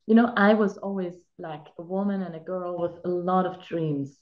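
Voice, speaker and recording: A woman's voice: lowish voice, fairly even intonation, moderate pace. A woman in her thirties, a native German speaker, chatting reflectively as an actress and life coach over a video call. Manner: reflective, chatty, many filler "like"s. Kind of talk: casual video-call interview. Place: home room with bare wall. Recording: roomy lo-fi video-call audio.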